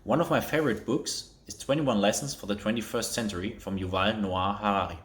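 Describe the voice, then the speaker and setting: A man's voice: on the low side, fairly quick, fairly bright tone. A man, giving a friendly, straightforward self-introduction as a teacher. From Cologne, Germany. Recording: echoey room.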